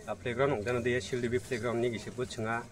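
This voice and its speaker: A man's voice: medium pitch, level tone, fast talker. Hesitant man answering questions outdoors, slightly distant. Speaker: event organizer. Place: outdoors by a rural fish pond.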